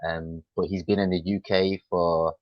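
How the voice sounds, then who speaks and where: A young man from Manchester with a Mancunian accent, chatting in a relaxed way over a video call as an English tutor. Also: low voice, normal speed, no room sound. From Manchester, England. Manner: relaxed, chatty, many fillers and restarts. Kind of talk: casual video-call conversation. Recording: dull dry lo-fi call audio.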